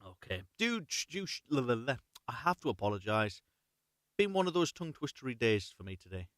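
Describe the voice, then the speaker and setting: A man's voice: mid-range voice, very animated, fairly quick, fairly bright tone, dry recording, studio quality. A man hosting a live story reading, chatting casually and reading unrehearsed in a rambling, self-correcting way. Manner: rambling, self-correcting, apologetic about slips.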